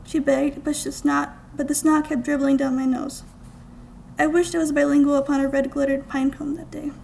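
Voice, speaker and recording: A young woman from the United States reading her own writing aloud at a live event indoors, with deliberate, expressive phrasing. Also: sits high, vocal fry, a little hiss or hum, rough recording. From United States, small-town Minnesota. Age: young adult. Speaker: student writer reading own work. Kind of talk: scripted literary reading aloud. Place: college art gallery, indoors.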